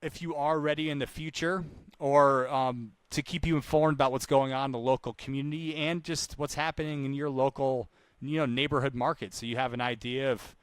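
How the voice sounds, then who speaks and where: A man's voice: mid-range voice, steady tone, brisk, smooth, dry recording. A man with a mid-range voice in a dry recording speaks like a sales trainer doing live outreach calls. He is informal and chatty, and he likes to repeat a point to drive it home. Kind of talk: live cold-call demonstration with coaching talk.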